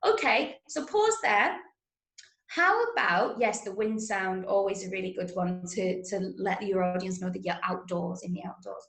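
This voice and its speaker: A woman's voice: mid-range voice, normal speed, fairly bright tone. A woman from Manchester with an English accent, teaching an interactive drama workshop online in an encouraging manner. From Manchester, England. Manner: encouraging, praising students' answers. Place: indoors, on a video call. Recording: roomy, echoey sound.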